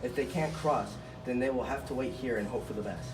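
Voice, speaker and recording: A man's voice: mid-range voice, fairly quick, natural voice. Brave, stubborn male rabbit played by a man, blunt and scornful, amateur puppet show, roomy with some noise. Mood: indignant, urgent. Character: brave, strong, hard-headed, gruff male rabbit. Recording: echoey, noisy room, far from mic.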